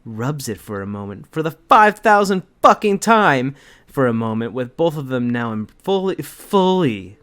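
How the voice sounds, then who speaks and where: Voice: medium pitch, very animated, normal speed, fairly bright tone, clean tone. A person giving a comedic dramatic reading in a giggly, sarcastic manner, breaking off to comment. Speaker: co-reader and commentator. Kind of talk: comedic dramatic reading of fan fiction with banter.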